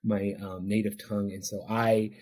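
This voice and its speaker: A man's voice: fairly low pitch, level tone, normal speed, a little mellow. A man whose first language is Spanish, an addiction counselor telling his own story as a podcast guest in an earnest, heartfelt, reflective way. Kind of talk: podcast interview, personal storytelling.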